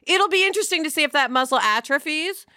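A woman co-hosting a podcast in casual chat, animated and emphatic, often repeating herself to make a point. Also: high-pitched, fast talker, no echo, clean studio sound. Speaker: podcast co-host.

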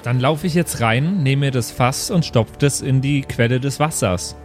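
A man's voice: mid-range voice, moderate pace, faint music behind. A man playing a tabletop role-playing game, casually saying what his character does. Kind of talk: casual live tabletop play, speaking in character.

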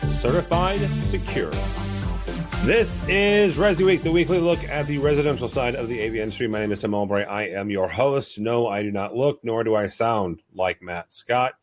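A man's voice: medium pitch, normal speed, music in the background. A man hosting a podcast panel, with a mid-range voice. He introduces his guests with jokes and reads the news in an upbeat, chatty way. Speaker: podcast host.

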